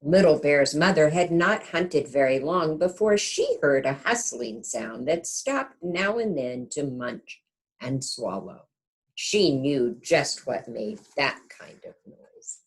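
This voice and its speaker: Expressive low-voiced woman reading a storybook aloud. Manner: expressive, pausing to ask listeners questions. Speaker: kindergarten teacher reading aloud. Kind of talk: storybook read-aloud for young children.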